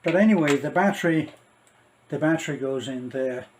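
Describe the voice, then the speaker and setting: A middle-aged man giving a casual, rambling unboxing commentary, halting and thinking aloud as he goes. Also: fairly high pitch, darkish tone, a little hiss or hum. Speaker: vlogger.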